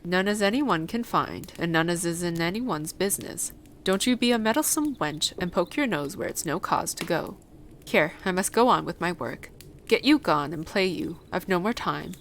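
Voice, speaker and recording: Woman putting on a gruff, blunt old gardener. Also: lowish voice, lively intonation, normal speed, fairly bright tone, a little hiss or hum. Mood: surly, dismissive. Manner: blunt, gruff dialect speech. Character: surly, blunt old Yorkshire gardener man.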